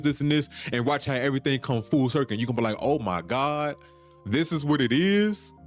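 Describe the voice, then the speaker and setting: A man hosts a solo podcast, talking in an urgent, preachy way that repeats points and swears casually. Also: a little high. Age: 30s. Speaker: podcast host.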